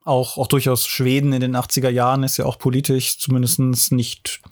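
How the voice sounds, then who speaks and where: A man's voice: medium pitch, completely flat delivery, brisk. Conversational man explaining at length. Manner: fluent, explanatory, fills in with casual fillers. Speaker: podcast panelist. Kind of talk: casual discussion.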